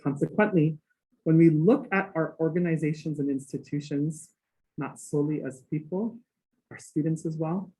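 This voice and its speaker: A man's voice: on the high side, moderate pace, warm tone, low-quality recording. A man from Hawaii giving an earnest academic talk as guest lecturer, with rhythmic phrasing and playful asides.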